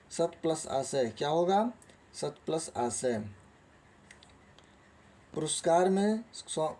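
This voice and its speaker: A man's voice: on the high side, warm tone. A man teaching a lesson, reading out quiz questions and options in a didactic way and then giving the answers. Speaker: Hindi grammar teacher.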